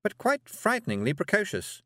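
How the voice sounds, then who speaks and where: A man voicing a stern, suspicious woman witch like a schoolmistress, brisk, prim and commanding, in a storybook reading. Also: high-pitched, huge pitch swings, pushed and bright, dry recording, clean studio sound, voice pushed up, heavily acted. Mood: prim, measured.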